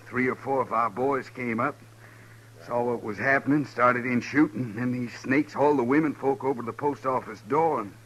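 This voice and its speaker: An actor playing a beaten, resigned townsman who talks haltingly and plainly in a 1950s radio Western. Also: mid-range voice, husky. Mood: weary, resigned. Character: beaten, weary male townsman held hostage. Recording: muffled old radio recording, steady hiss.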